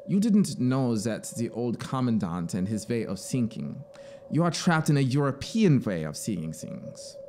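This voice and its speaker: A man's voice: mid-range voice, darkish tone, a little hiss or hum. Man acting out a scheming male officer, storybook horror, fervent, persuasive, rhetorical, mid-range voice, audible eerie soundscape. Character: zealous, scheming male penal colony officer, insistent and aggrieved. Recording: clear background synthesizer ambience.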